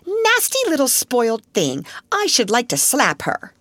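Woman as a haughty schoolgirl bully, high-pitched. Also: sing-song, unhurried, no room sound. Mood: indignant. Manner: sneering, commanding.

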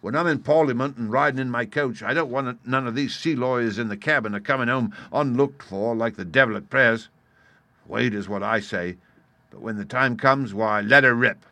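A man voicing a scheming, swaggering pirate ringleader in a storybook, speaking forcefully in rustic seafaring dialect. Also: mid-range voice, moderate pace, no echo. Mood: menacing. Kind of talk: dramatised storybook reading.

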